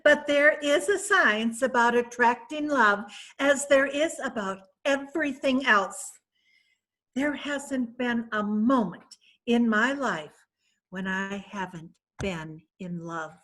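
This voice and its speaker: A woman playing a vain, flirtatious wealthy widow in an amateur stage reading, laughing often and speaking in a slow, theatrical way. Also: on the high side, fairly bright tone. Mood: boastful. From San Francisco. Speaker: reader in a play reading. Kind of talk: amateur readers' theatre acting.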